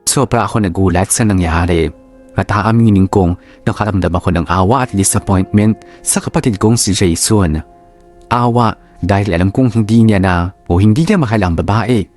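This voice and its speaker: A man's voice: on the low side, darkish tone, lightly acted. A man with a Filipino accent reading scripted, reflective first-person narration for a radio drama. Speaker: story narrator.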